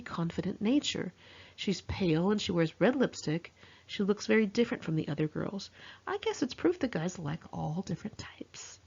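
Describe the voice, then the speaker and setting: A woman's voice: fairly high pitch, a little mellow, husky. A woman reading her own memoir aloud in a candid, wry, conversational and confessional way, with dramatic expression. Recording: muffled lo-fi home recording.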